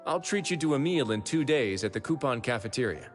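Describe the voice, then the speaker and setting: A man plays a composed prison warden duke from a fantasy game, speaking in a mid-pitched voice with a lively, rolling melody. He stays wry and unhurried, with quiet menace under the politeness. Game music sits beneath him. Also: natural voice. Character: calm, dryly menacing adult male prison warden duke. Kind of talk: game character voice acting.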